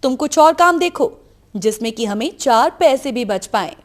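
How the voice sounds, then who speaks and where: A woman's voice: high-pitched, lively intonation, moderate pace. A woman voicing a practical, persuasive village wife in a storybook tale. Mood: worried, pleading. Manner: earnest, persuasive. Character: worried, practical village wife urging her husband. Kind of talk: storybook character dialogue.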